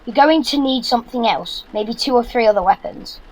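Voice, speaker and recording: A man's voice: very high-pitched, fairly quick, a little hiss or hum, poor audio. A young man playing a practical, bossy British son in an audio drama, speaking in an earnest, instructive way. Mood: brisk, practical. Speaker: actor.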